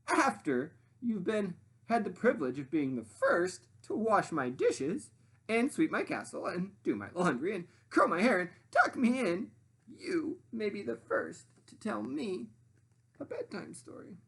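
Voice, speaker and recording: A woman voicing a bossy, gloating little storybook witch with a crowing, drawn-out, commanding delivery. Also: medium pitch, big pitch swings, breathy edge, pitched above the performer's own voice, exaggerated voice. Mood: haughty, commanding.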